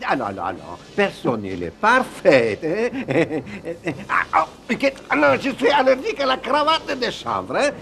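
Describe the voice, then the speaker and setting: An actor voicing an excitable, bumbling explorer captain in a cartoon, blustering and wheedling in a dramatic, repetitive way. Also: male voice, sits high, slow pace, gravelly, hardly any pauses, a little hiss or hum, heavily acted. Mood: nervous, wheedling. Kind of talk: theatrical cartoon dub acting.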